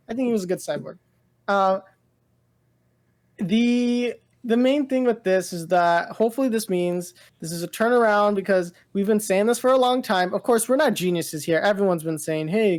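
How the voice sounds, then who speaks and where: A woman co-hosting a casual pop culture podcast, rambling and halting as she thinks aloud. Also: mid-range voice, a little mellow. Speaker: podcast co-host.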